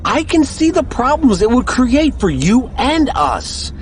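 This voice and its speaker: Animated, exasperated young husband. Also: male voice, very high-pitched, a little slow, slight background noise, heavily acted. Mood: defensive, exasperated. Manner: argumentative, exasperated. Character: stubborn, defensive husband arguing on a road trip. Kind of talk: audio drama acting.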